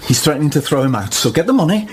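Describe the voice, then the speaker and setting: An actor playing a proud, cornered young squire's son, bitter and indignant in a period radio drama. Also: male voice, fairly high pitch, sing-song, very fast, background noise. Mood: angry. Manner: indignant, tense, clipped threats.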